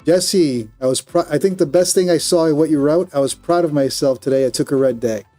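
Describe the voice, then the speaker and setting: Colombian male streamer, slightly high and warm-toned, frank and self-critical. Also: a little fast, soft background music. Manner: candid, self-critical, with frequent restarts. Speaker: day trader streaming live. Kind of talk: live stream commentary, reading viewer chat.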